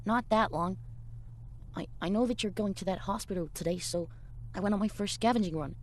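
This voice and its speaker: An actor voicing a shy, eager young boy in a video game, hushed and hesitant. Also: medium pitch, fairly quick, slight background noise, lightly acted. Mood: shy, secretive.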